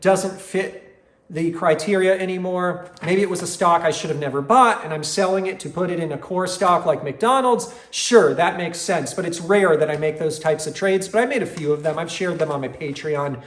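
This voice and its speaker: A man's voice: fairly high pitch, normal speed, fairly bright tone. A man in his forties explaining things to viewers as a host, in an emphatic manner, repeating words for stress. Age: 40s. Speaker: host of an investing channel. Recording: roomy, echoing room sound.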